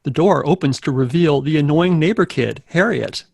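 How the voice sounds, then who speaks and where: A man narrating stage directions and hosting a table read in a low-key, casual way. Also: normal speed, gravelly, darkish tone, slightly rough, no echo.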